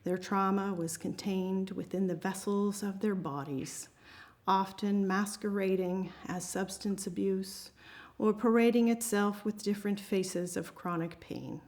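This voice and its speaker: Woman, amateur author presenting her own writing aloud; medium pitch. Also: steady tone, a little slow, a little mellow. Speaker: amateur writer reading at an open mic. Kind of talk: reading own short story aloud to a group.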